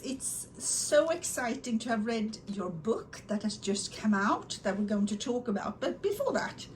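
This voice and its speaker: A woman's voice: medium pitch, poor audio. Female podcast host with faint background noise. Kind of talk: prepared introduction and interview questions. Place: university office.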